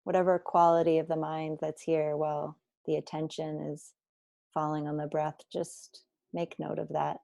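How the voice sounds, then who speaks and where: Woman meditation guide, soft dark tone, creaky fry, unhurried, deliberate pauses, gentle instruction. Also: low voice, little pitch movement, no echo, clean studio sound. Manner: slow, deliberate, with long pauses. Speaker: meditation teacher. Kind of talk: guided body scan meditation.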